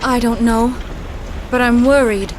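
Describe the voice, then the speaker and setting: A woman's voice: fairly high pitch, steady tone, unhurried, a little hiss or hum, natural voice, poor audio. An actress playing a worried but practical young woman adventurer, terse and direct, in an audio drama.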